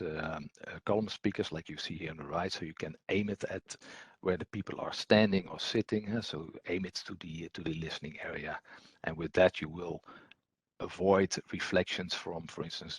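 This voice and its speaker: A man giving an explanatory technical presentation as a trainer, unscripted, in a halting, hesitant way with repeated words. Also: sits low, slightly rough, no room sound.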